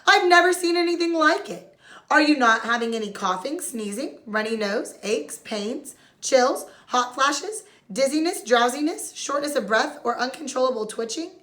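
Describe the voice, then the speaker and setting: A woman's voice: high-pitched, lively intonation, normal speed, fairly bright tone, pitched above the performer's own voice. A fussy older family doctor from a children's storybook, voiced by a woman reading aloud. He is baffled and proper, talking in an orderly, professional way. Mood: astonished, probing.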